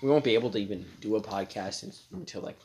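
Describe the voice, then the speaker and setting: A young man with a deep voice, co-hosting a casual podcast from a friend's house, laid-back and joking, swearing casually. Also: fast, background noise. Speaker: podcast co-host.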